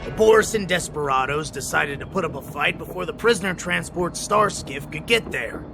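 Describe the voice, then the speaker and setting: A man's voice: fairly high pitch, lively intonation, moderate pace, gravelly. A man voicing a conciliatory patrol officer in a video game, giving explanations in a polite, orderly way. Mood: frank, explaining. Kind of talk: English video game voice acting. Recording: music behind the voice. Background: game music.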